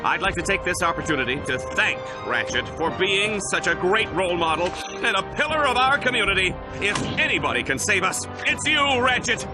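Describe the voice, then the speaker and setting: A man voicing a hammy, easily rattled TV anchor in a video game, melodramatic and whiny, over loud music. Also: high-pitched, expressive, brisk. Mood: grand, grateful. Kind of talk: cartoonish video game acting.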